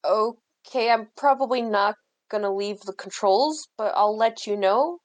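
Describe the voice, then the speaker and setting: A woman's voice: mid-range voice, measured pace. A woman improvising lines as a player in a tabletop roleplaying game, speaking in a casual, offhand way.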